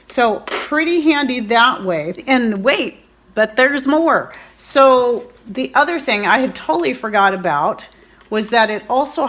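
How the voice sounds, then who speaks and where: Bright, higher-pitched woman chatting to viewers. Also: a little hiss or hum. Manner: chatty, informal, rambling. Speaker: host of a homesteading and preparedness video channel. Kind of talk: casual product review vlog, talking to viewers.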